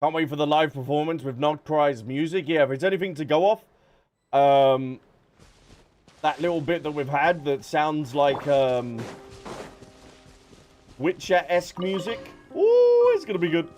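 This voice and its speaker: A man's voice: fairly high pitch, very animated, measured pace, long pauses, a little mellow. A man livestreaming a game, chatting casually and speaking directly to his viewers over game music. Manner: chatty, addresses viewers by name. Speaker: live streamer.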